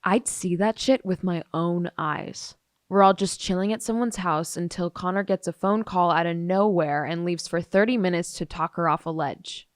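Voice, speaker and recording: A woman's voice: fairly low pitch, normal speed, no room sound, studio quality, close to an everyday voice. A woman acting a blunt, dismissive, jaded teenage girl testifying in an audio drama. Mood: fed up. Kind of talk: audio drama monologue, police interview testimony.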